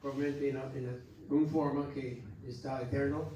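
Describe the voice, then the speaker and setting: American pastor preaching in an echoey hall. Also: male voice, medium pitch, level tone, warm tone, slight background noise. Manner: earnest, exhorting with halting restarts. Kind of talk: Sunday school teaching. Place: church hall. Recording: echoey church hall from far off.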